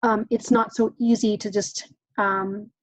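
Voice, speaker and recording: A woman's voice: mid-range voice, level tone, measured pace, no room sound, rough recording. A woman presenting a parenting workshop in a casual, chatty way, often acting out exchanges between parent and child, with frequent "um"s. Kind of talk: informal teaching talk.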